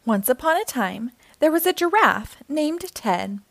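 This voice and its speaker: High, very animated woman reading to children. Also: unhurried, gravelly, dry recording. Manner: expressive, theatrical. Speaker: storytime host and reader. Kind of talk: children's picture book read-aloud.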